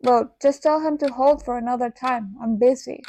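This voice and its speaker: A woman's voice: on the high side, steady tone, normal speed, mellow, lightly acted. A woman playing an overworked, modest human manager in a radio play, reserved and hesitant in delivery. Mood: curt. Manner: reserved, hesitant, slips into Spanish. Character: Ari, overworked, modest human woman running a cartoon studio. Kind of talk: fictional radio theater acting.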